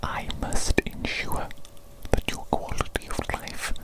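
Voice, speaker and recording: A woman voicing an evil, doting mad doctor in a breathy ASMR whisper, with formal, repetitive phrasing. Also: close to an everyday voice. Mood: doting, theatrical. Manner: slow, repetitive, formal old-fashioned phrasing. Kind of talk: whispered ASMR medical roleplay.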